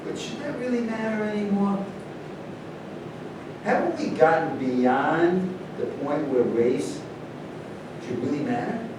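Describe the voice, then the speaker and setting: A man's voice: expressive, takes it slowly, long pauses. A man reading the part of a troubled, reflective champion golfer in a staged play. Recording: distant echoey stage recording, rumbling noise.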